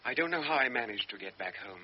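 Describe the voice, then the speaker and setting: An actor voicing a young lieutenant's brooding inner monologue of guilt in a radio drama. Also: male voice, mid-range voice, animated, quick pace, lightly acted. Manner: inward, brooding first-person monologue. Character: guilt-ridden young lieutenant recalling his shame. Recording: dull old radio broadcast, faint hiss.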